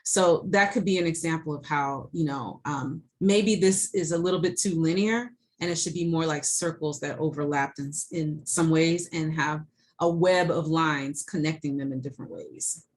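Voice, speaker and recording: A woman's voice: sits low, normal speed. A middle-aged woman, a college professor, giving a recorded lecture over slides in a conversational way, with many ums and restarts.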